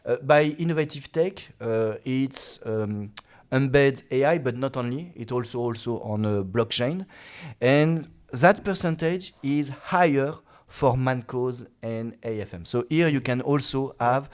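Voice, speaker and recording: A man, a guest expert in an interview, speaking haltingly with many "uh"s and restarts. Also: mid-range voice, smooth. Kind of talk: casual interview talk.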